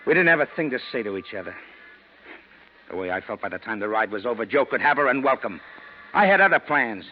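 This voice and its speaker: An actor playing a nervous, slangy American ex-convict in a 1940s radio crime drama, pleading and hesitant. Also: male voice, medium pitch, sing-song, fast, lightly acted. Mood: insistent. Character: nervous, fast-pleading male ex-convict, slangy small-time crook. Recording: dull, noisy vintage radio recording.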